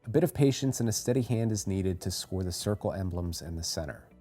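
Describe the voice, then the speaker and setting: A man reading a scripted how-to voice-over as a tutorial narrator, giving clear step-by-step instructions. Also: low-pitched, lively intonation, a little fast, warm tone, faint music behind.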